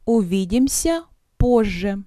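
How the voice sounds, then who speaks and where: A woman leads a vocabulary drill at a very slow, deliberate pace. She enunciates each phrase with care, then splits it word by word and repeats it. Her voice is soft and mellow, recorded close and dry. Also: medium pitch, big pitch swings, no echo. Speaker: language teacher.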